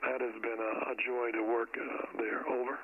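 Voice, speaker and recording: A man's voice: medium pitch, little pitch movement, normal speed. A man with an American accent chatting in an easygoing way as an amateur radio operator over the air. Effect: radio transmission. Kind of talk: casual two-way radio chat. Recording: muffled radio audio with faint static.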